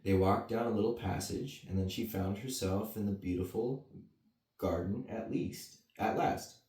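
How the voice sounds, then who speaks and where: A man's voice: lowish voice, normal speed, dark tone. A man reading a bedtime story to children with casual asides. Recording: roomy echo, mic at a distance.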